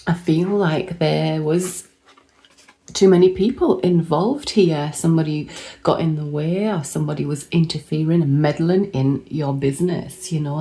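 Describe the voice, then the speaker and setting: A woman, hoarse from a lost voice, giving a chatty tarot card reading and thinking aloud as she pulls cards. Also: deep voice, dark tone. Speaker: tarot reader.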